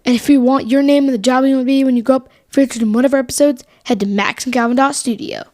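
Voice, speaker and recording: A woman's voice: sits high, steady tone, fast, heavy background noise. A woman co-hosting a scripted educational podcast made for children. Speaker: podcast co-host.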